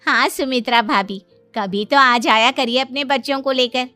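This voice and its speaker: A woman's voice: high-pitched, normal speed, pushed and bright, no echo, faint music behind. A woman playing a sociable, hospitable middle-aged aunt in a cartoon family drama. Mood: welcoming.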